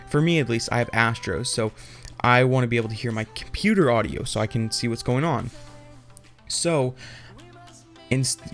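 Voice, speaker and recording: Casual, rambling young man over music. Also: mid-range voice. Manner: rambling, informal, loosely explanatory. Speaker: tutorial host. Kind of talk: casual off-the-cuff tech tutorial.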